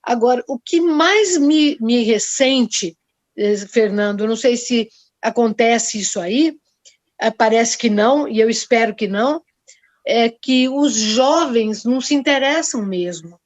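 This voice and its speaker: A woman in her sixties moderating a panel, speaking in a measured, explanatory way over a video call. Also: on the high side, dry recording. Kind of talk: discussion panel talk. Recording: dry, dull video call audio.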